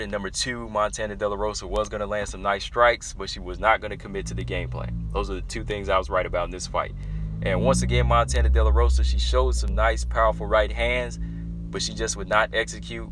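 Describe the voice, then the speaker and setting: A young man casually breaking down a fight for his viewers, animated and talking straight to them as y'all. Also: fairly low pitch, a little hiss or hum. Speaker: MMA analysis vlogger.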